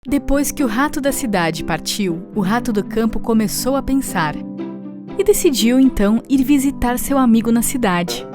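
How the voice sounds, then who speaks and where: A woman's voice: a little high, lively intonation, normal speed. A woman with a Brazilian accent narrating a scripted children's story. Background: music.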